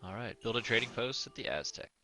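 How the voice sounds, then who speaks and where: A man's voice: mid-range voice, animated, fast, sharp tone, faint music behind, low-quality recording. A man giving casual, wry, joking reaction commentary over a video game. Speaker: video creator.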